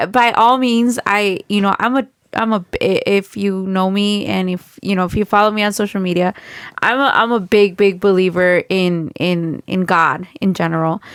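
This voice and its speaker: Woman, podcast co-host, stuffy nose, smooth clear voice, dry close mic, chatty and laughing. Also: mid-range voice, no echo. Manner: laughs often, talks in quick bursts with "like" and "you know". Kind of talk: casual chat.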